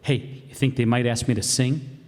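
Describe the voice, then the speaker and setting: A man's voice: mid-range voice, fairly even intonation, normal speed, close to an everyday voice. Man acting a vain, breezy radio-comedy dad. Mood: boastful, breezy. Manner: boastful, breezy. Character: vain, folksy, slangy bandleader husband and father. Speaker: radio player. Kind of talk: stage re-creation of old radio comedy. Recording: reverberant hall, live stage performance.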